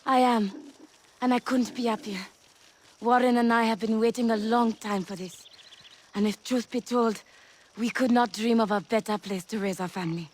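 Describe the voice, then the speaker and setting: An actress voicing a polite, gentle young homestead wife who is expecting a baby, in a video game. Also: female voice, a little high, steady tone, normal speed, lightly acted. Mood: warm. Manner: polite, apologetic.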